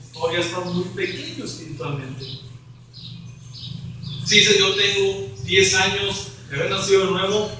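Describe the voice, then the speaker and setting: A man's voice: sits high, bright, forceful tone, heavy reverb, distant mic, a little hiss or hum, poor audio. A man preaching an evangelical sermon as pastor, rising to emphatic questions.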